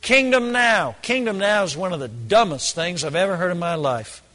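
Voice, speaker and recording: A hoarse man preaches with a high-pitched voice that rings with long reverb, as in a large hall. He presses his points hard and repeats phrases to drive them home. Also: animated, normal speed, pushed and bright. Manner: emphatic, repeats phrases for stress. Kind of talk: sermon.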